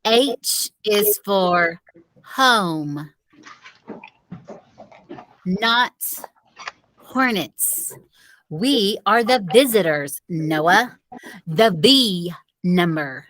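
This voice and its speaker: A woman's voice: medium pitch, expressive, very slow, long pauses, fairly bright tone, no room sound, poor audio. A woman playing a bossy teenage girl head cheerleader in an audiobook, scolding and exasperated. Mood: slow, exasperated. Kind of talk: audiobook character dialogue.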